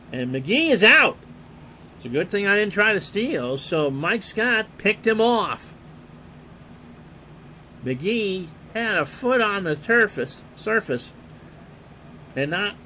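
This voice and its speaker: A man calling a replayed baseball game play-by-play in a calm, unhurried way, reading player stats and chatting with viewers. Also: fairly high pitch, slight background noise. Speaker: play-by-play announcer and streamer.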